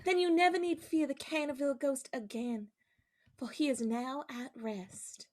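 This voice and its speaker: A woman's voice: high-pitched, steady tone, normal speed, a little mellow, natural voice. A woman playing a sweet, brave young girl in a radio play, speaking with earnest innocence. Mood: earnest, gentle.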